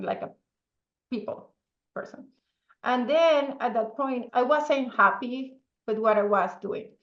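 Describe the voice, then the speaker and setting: A woman's voice: on the high side, fairly bright tone, echoey room, muffled recording. A woman from Venezuela with a strong Spanish accent, speaking English as a second language as a guest in a casual interview, often checking in with "okay?" and laughing easily. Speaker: podcast guest, entrepreneur.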